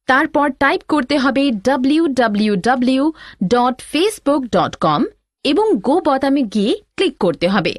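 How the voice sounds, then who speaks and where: A woman's voice: high-pitched, no room sound, studio quality. A woman playing a helpful elder sister, giving scripted, reassuring instruction in an educational cartoon. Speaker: tutorial narrator and teacher.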